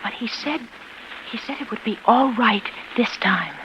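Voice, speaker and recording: Casting: anguished ailing wife, emotionally intense, halting delivery, 1940s radio melodrama with dull hissy sound. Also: female voice, a little high, animated, pushed and bright. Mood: pleading. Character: anguished, ailing former concert pianist wife, desperately insistent. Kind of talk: 1940s radio drama acting. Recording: muffled, noisy old radio broadcast.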